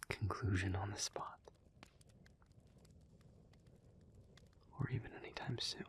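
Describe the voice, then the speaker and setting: A man playing an earnest, analytical demon in an intimate audio roleplay, speaking in a hushed, breathy, measured and deliberate way. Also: lowish voice. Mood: calm, reassuring. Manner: measured, deliberate, halting, formal wording. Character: earnest, analytical male demon, gently devoted and restrained.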